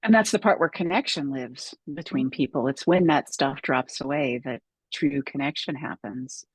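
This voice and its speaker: A woman's voice: rumbling low, moderate pace, breathy edge, no room sound. A woman hosting a casual interview, affirming her guest and often restarting phrases. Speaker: interviewer host.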